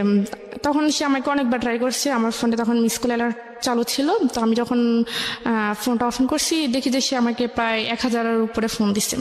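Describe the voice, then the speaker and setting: A woman with a Bangladeshi Bengali accent telling her personal story in a radio interview, recounting conversations as dialogue. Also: on the high side. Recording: echoey room with long reverb.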